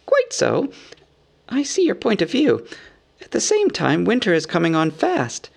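A man voicing a small, fussy, anxious male hobbit from a storybook, squeaky, polite and rambling in a businesslike way. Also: huge pitch swings, measured pace, gravelly, exaggerated voice. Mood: earnest, businesslike. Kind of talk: storybook character voice.

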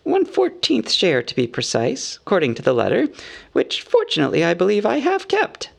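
A man voicing a small, fussy, anxious male hobbit from a storybook, squeaky, polite and rambling in a businesslike way. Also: huge pitch swings, moderate pace, vocal fry, exaggerated voice. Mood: businesslike, weary. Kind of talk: storybook character voice.

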